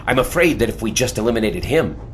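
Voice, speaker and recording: An actor playing a courteous, confident leader of secret agents in an adventure audio drama, speaking in a genteel, theatrical way. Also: male voice, mid-range voice, big pitch swings, fast, slight background noise, close to an everyday voice. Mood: earnest, persuasive.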